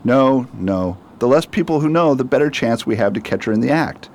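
A man playing a shrewd, secretive detective in a radio drama, brisk and authoritative. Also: medium pitch, lively intonation, darkish tone, a little hiss or hum, close to an everyday voice. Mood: serious. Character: shrewd, confident male detective, secretive and commanding. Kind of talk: amateur radio drama acting.

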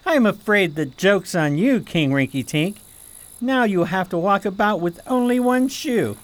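A woman's voice: medium pitch, measured pace, lightly acted. A woman playing an earnest, anxious and awkward young prince in a radio play. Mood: teasing. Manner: earnest, excitable, hesitant when shy. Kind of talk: radio theater acting.

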